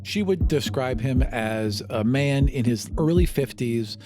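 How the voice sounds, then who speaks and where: A man's voice: medium pitch, expressive, measured pace, music in the background. A man working as game master, narrating a tabletop game in a casual, conversational style.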